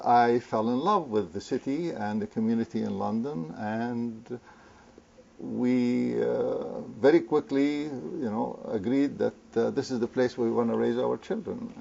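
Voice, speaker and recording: An older man from Lebanon, a guest in an interview, talking hesitantly with many fillers and pauses. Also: medium pitch, steady tone, gravelly, mellow. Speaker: interview guest, community founder. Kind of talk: conversational interview. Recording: muffled lo-fi recording.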